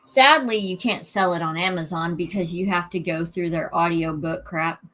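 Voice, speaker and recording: A woman hosting a casual evening chat stream, talking in a relaxed way with many fillers and restarts, her voice fairly flat in tone. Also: fairly low pitch, normal speed. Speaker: host.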